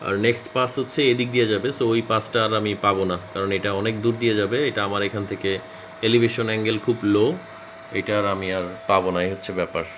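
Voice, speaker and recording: A man's voice: fairly low pitch, steady tone, quick pace, fairly bright tone. A man with a Bangladeshi accent, an amateur radio operator making radio calls and explaining casually. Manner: spells call signs in phonetic alphabet. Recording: very noisy, radio static and clicks.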